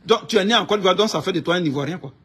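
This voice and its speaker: A man with an Ivorian accent speaks in a high-pitched, raspy-edged voice. He rants informally about his views in an indignant, emphatic way, often hammering a phrase by repeating it. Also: animated, racing, fairly bright tone. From Ivory Coast. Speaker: online political commentator. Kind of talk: informal political rant to camera.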